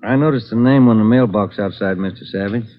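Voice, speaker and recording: An actor playing a detective sergeant and partner in a 1940s radio drama, making plain, observant remarks. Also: male voice, fairly low pitch, a little fast, close to an everyday voice. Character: steady police partner on a case. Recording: dull, noisy 1940s radio recording.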